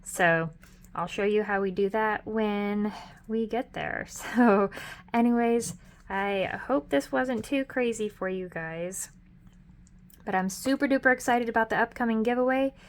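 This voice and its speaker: Middle-aged woman, host of a budgeting vlog, chatty and upbeat, a touch hoarse, mid pitch. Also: a little slow. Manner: chatty and upbeat, with frequent ums and self-corrections. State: hoarse, losing her voice. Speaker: budgeting vlogger. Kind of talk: casual budget walkthrough.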